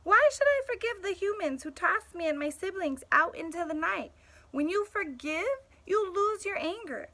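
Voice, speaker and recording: Streetwise male stray dog played by a woman, storybook narrator, blunt and sardonic, bright, high pitch. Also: lively intonation, moderate pace, full character voice. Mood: defiant. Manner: sardonic, punchy, conversational. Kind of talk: storybook first-person narration.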